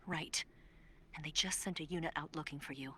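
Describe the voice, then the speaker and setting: A woman's voice: deep voice, a little fast, sharp tone, slight background noise. A woman playing a capable, secretive young female spy in a video game, urgently guiding an ally. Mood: serious, urgent. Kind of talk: video game cutscene acting.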